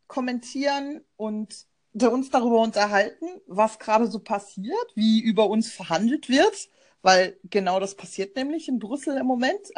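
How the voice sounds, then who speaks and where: A German woman, a journalist and podcast co-host, talking in a thoughtful, personal way with frequent fillers over a remote call. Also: fairly high pitch. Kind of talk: casual conversational podcast.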